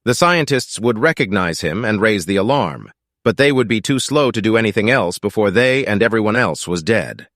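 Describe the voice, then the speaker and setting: A man's voice: on the low side, animated, normal speed, fairly bright tone, no room sound, clean studio sound. A man reading scripted fiction as an audiobook narrator.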